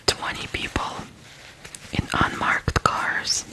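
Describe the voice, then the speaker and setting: Slow whispering ASMR storyteller from Ukraine. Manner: slow, deliberate, hushed delivery with pauses. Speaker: ASMR video host.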